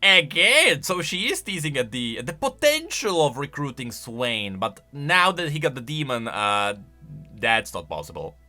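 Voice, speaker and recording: A man giving casual, emphatic commentary as a gaming YouTuber, excitable in his delivery. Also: medium pitch, normal speed, soft background music. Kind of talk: casual lore commentary, reacting to voice lines.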